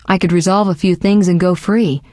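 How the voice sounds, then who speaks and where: A woman's voice: on the low side, fairly even intonation, fairly quick, darkish tone, no echo, studio quality, natural voice. A woman voicing a self-assured, sly sorceress in a video game, speaking in poised, articulate, formal phrases with a teasing edge. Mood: earnest, informative. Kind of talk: scripted video game dialogue.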